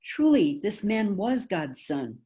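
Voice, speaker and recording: A woman's voice: medium pitch, sing-song, slow pace, mellow. A woman reading the parts of crowd members and priests in a dramatic scripture reading. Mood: awed. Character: mocking crowd, bystanders and priests of biblical Jerusalem. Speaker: volunteer reader in a church Passion reading.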